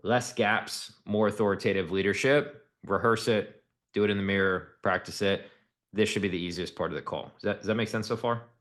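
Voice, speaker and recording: Man, low voice, sales coach, direct and instructive, casual phrasing. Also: brisk, fairly bright tone. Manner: direct, instructive, casual, acts out example lines. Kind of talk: coaching breakdown of a recorded sales call.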